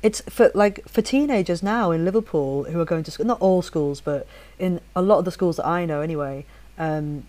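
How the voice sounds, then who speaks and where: A woman's voice: on the low side, warm tone. A woman in her forties from Leeds, with a faint, mostly neutral northern English accent, chatting animatedly as host and often restarting her sentences. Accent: soft northern English, mostly neutral. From Leeds, England. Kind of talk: casual chat.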